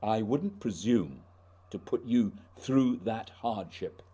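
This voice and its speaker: An actor playing a dutiful, reserved male polar expedition leader, measured, grave and formal, in a radio play. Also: on the low side, animated, dark tone, breathy edge, exaggerated voice. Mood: gently firm.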